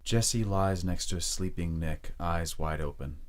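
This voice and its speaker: Very deep-voiced male drama narrator. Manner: measured, descriptive reading. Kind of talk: scripted audio drama narration.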